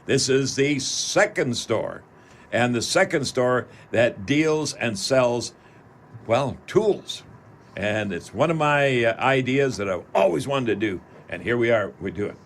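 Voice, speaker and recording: A man's voice: mid-range voice, expressive, unhurried, a little hiss or hum. A man hosting a live radio call-in show, chatting in a folksy way and often addressing the listeners directly.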